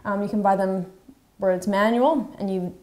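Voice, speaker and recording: A woman in her thirties co-hosting a fishing show, chatting in an animated way with quick restarts. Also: mid-range voice. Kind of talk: casual chat. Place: bright indoor studio at a table.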